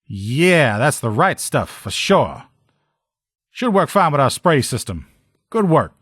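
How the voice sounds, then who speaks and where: A man's voice: medium pitch, very animated, slow pace, a bit bright, husky, no echo, studio quality, exaggerated voice. A man playing a brash sheriff's lieutenant and proud armor mechanic in a video game, blunt, sardonic and salty-tongued. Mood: casual, businesslike. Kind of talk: video game character dialogue.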